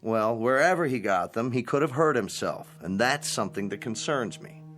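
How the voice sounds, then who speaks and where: An actor playing a calm manager of an old folks' home in a radio drama, speaking in a patient, placating way. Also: male voice, medium pitch, moderate pace, faint music behind, lightly acted. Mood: unruffled, explaining.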